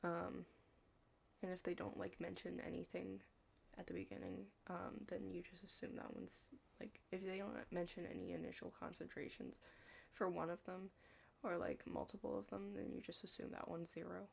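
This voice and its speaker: Creaky young woman rambling in casual ASMR. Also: lowish voice, level tone, a little hiss or hum. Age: young adult. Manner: rambling, halting, heavy use of "like". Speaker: ASMR roleplay creator playing a study partner. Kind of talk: ASMR roleplay, casual chat.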